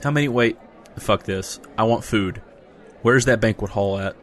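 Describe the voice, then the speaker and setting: A man playing a blunt, sarcastic adventurer with deadpan quips in a fantasy comedy audio drama. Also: on the low side, normal speed, close to an everyday voice.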